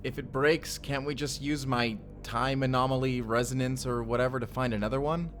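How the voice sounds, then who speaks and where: A man's voice: medium pitch, steady tone, moderate pace, a bit bright, natural voice. A man playing a bewildered, apologetic reluctant recruit in a time-travel drama, speaking informally and hesitantly. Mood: uncertain, curious. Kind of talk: cinematic audio roleplay acting.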